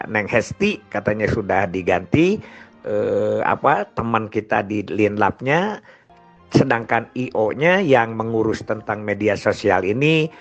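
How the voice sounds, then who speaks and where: An older man with a West Javanese Sundanese-region Indonesian accent, talking informally and ramblingly like a grandfather telling of past experiences. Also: fairly low pitch. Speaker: storyteller. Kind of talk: informal reminiscing monologue. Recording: dull lo-fi sound with music behind. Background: music.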